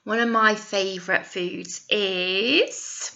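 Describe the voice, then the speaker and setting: A woman's voice: mid-range voice, fairly even intonation, takes it slowly, creaky, sharp tone. A British woman, a teacher, telling a story to young children expressively, with coaxing questions and asides, on a slightly dull recording.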